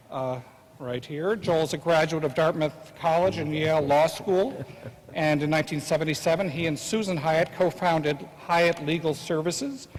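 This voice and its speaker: A man representing a sponsor, reading a moderator's introduction with halting delivery and many fillers. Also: a little high, a little hiss or hum. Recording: long hall reverb.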